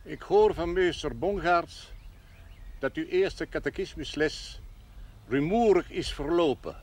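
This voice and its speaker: A man playing a stern, formal parish priest in a television period drama, with a measured, admonishing delivery. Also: on the high side.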